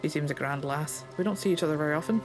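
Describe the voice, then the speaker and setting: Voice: medium pitch, rapid-fire, over music, close to an everyday voice. A player reading aloud the lines of a plainspoken Scottish stable master in a game, in a folksy, understated way.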